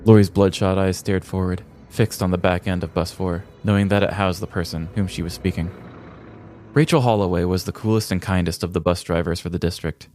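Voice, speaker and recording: A man's voice: low-pitched, a little mellow. An American man in his thirties reading scripted comedic story narration as a podcast host. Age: 30s. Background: faint music.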